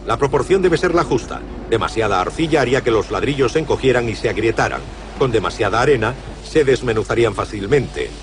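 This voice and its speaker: Documentary narrator: man, scripted delivery, average register, noticeable music bed. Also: medium pitch.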